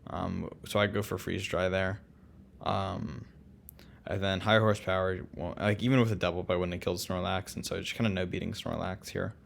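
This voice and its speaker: A man casually commentating a game recap, relaxed and full of ums and restarts. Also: low voice, fairly quick, gravelly. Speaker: co-host of a league recap.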